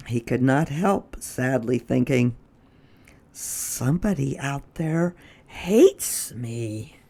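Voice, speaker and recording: A storyteller reading a children's book aloud in an expressive, deliberate way. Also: mid-range voice. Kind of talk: children's read-aloud narration.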